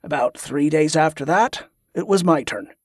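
A narrator voicing a plain-spoken man who reminisces in a measured, reflective way in an audiobook. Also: medium pitch, dry recording, clean studio sound, natural voice. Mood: wistful.

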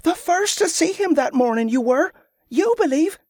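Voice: very high-pitched, lively intonation, moderate pace, darkish tone, dry recording, clean studio sound, pitched above the performer's own voice. A performer voicing a defiant, earnest young farm girl in an audiobook, with fervent rustic phrasing. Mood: insistent, pleading.

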